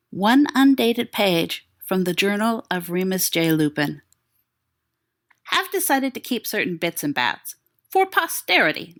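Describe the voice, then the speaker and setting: A woman reading credits and a journal entry from a fan story aloud in a wry, measured way. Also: mid-range voice, a bit bright. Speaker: podfic reader.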